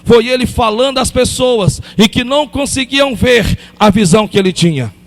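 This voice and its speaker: High-voiced gravelly preacher in echoing hall. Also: male voice, normal speed. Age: 50s. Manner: repetitive, emphatic, calls on the congregation. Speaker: pastor. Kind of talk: sermon. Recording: long hall reverb.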